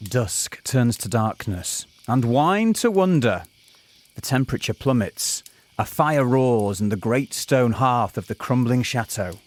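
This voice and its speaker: A man's voice: mid-range voice, animated, unhurried, faint music behind, close to an everyday voice. A man narrating a scripted comic audio drama in an overblown, literary, mock-dramatic way. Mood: dramatic, wry.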